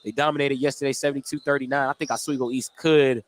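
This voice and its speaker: A man's voice: medium pitch, little pitch movement, quick pace. A man doing live play-by-play radio commentary on a basketball game, describing the action in short, clipped phrases. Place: indoor school gymnasium during a game. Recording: noisy live gym, clicks and ball bounces. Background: loud game noise, bouncing basketball.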